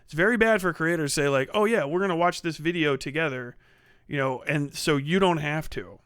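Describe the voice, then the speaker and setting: Clear, higher-voiced man doing blunt livestream commentary. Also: brisk, fairly bright tone, dry recording, studio quality. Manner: blunt, heavy swearing. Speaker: livestream host. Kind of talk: casual livestream reaction commentary.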